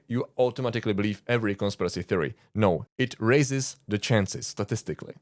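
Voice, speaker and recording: Czech-accented man, low dry voice. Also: a little mellow, no room sound. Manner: earnest, informal, with frequent uh fillers and dry asides. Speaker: YouTube host, conspiracy researcher. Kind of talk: explainer monologue to camera.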